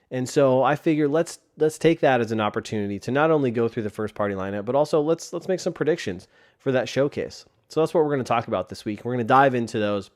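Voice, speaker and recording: Man podcaster with a sore, tired throat; casual rambling host delivery into a desk mic. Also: medium pitch. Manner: rambling with frequent restarts. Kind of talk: casual solo podcast talk. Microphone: desk microphone.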